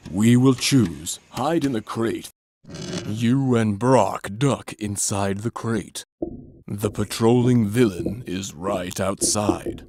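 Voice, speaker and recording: A man giving a deadpan, mock-solemn dramatic reading of a comic adventure story. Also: fairly low pitch, slow, slight background noise. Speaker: narrator.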